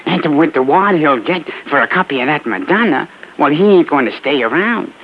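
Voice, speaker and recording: An actor playing a shrewd, wheedling small-time American informant in slangy, ungrammatical speech on an old radio crime drama. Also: male voice, fairly high pitch, lively intonation, fairly quick. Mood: sly, insistent. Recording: muffled old radio recording, faint noise.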